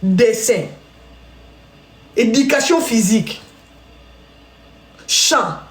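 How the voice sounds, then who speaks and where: A woman's voice: a little high, lively intonation, drawn-out words, roomy sound, slight background noise. A Congolese woman hosting a live stream, chatting and telling stories straight to her viewers. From Congo (DRC). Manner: chatty, storytelling, addresses viewers directly. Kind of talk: casual live-stream chat.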